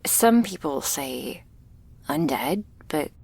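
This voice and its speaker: A woman performs a shy young female vampire in an ASMR roleplay, anxious and apologetic. Her voice is on the low side and crackles with fry. She talks very slowly and hesitantly, softly and intimately, as if to one listener. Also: expressive, no echo, full character voice. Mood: awkward, defensive. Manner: hesitant, halting, soft-spoken with whispery moments and nervous little laughs.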